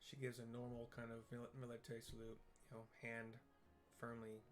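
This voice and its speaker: Halting man narrating a game over music. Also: mid-range voice, monotone. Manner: halting, thinking aloud. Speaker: gamer narrating a playthrough with visual descriptions. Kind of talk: casual improvised game commentary with audio description. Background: video game music.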